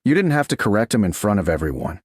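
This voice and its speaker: Guy reading as a proud noblewoman, quiet but pushing back, husky, lively pitch, romance audiobook. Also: fast, dry recording. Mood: guarded, challenging. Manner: quiet, pressing, questioning. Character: proud, challenging medieval noblewoman resisting attraction.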